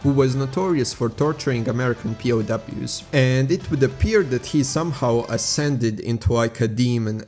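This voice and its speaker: A man's voice: mid-range voice, darkish tone. A man presenting casual scripted commentary in an informal, slangy, matter-of-fact way. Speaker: video essay host. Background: music.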